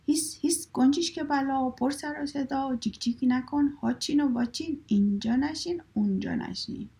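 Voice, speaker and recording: A woman voicing fed-up townsfolk who chant a rhythmic scolding rhyme at a bird, in a breathy, husky voice. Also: sits high, warm tone, voice pushed up, rough recording.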